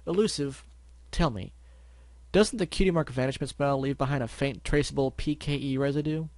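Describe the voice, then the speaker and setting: Man reading as a serious unicorn stallion, measured, firm, matter-of-fact, mid-pitched, dry close sound. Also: no echo, close to an everyday voice. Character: calm, serious lavender unicorn stallion, investigator.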